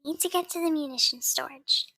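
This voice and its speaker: A woman's voice: high-pitched, fast talker, creaky, pushed and bright, no room sound. A woman playing a tabletop game, planning aloud and decisively. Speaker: player.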